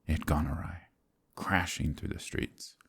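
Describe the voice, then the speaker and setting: Very deep, gravelly man acting a brooding secret werewolf. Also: fairly even intonation, full character voice. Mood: nervous, evasive. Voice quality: gravelly, husky, breathy at times. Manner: soft-spoken, halting, courteous, old-fashioned phrasing. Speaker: voice actor in an audio roleplay. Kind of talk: dramatic ASMR roleplay monologue.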